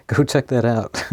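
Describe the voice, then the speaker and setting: A man casually talking through a tape machine repair, laughing often and pausing with lots of ums. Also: medium pitch, darkish tone, husky, dry recording. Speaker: host of an electronics repair channel. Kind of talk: casual hands-on commentary.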